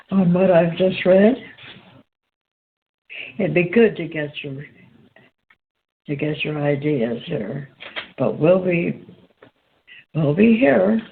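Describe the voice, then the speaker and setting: A woman host reading aloud from a spiritual text in a halting, deliberate way. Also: on the low side, vocal fry. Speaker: host and reader. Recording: tinny phone-line sound, background noise.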